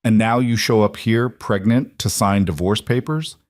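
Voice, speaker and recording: A man's voice: fairly low pitch, steady tone, normal speed, a little mellow, dry recording, studio quality, natural voice. A man voicing a cold, commanding mafia boss with clipped, wounded fury in an audiobook drama.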